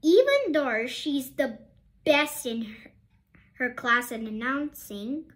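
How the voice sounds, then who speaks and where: Animated high-voiced woman reading a storybook. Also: slow. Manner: expressive, questioning asides to young listeners. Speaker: storybook reader. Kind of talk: children's picture-book read-aloud with asides to listeners.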